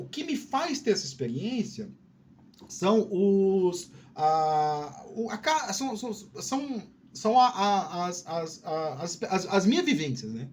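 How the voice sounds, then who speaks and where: Man, high-pitched voice, casual advice chat, informal, digressive, repeats words. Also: a little hiss or hum. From Piauí, Brazil. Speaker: video host.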